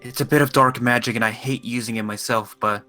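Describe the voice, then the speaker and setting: A man playing a dutiful, wary good mage allied with fairies in an improvised Minecraft fantasy roleplay, speaking in a measured, hesitant, concerned way. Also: mid-range voice, fairly even intonation, bright, forceful tone, lightly acted. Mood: thoughtful. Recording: voice over background music.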